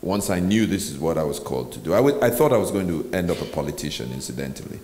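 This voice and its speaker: Low-voiced male pastor, reflective, halting, faint noise. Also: big pitch swings, darkish tone. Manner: reflective, with frequent ums and pauses. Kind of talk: onstage interview. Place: large hall. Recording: echoey hall with faint noise.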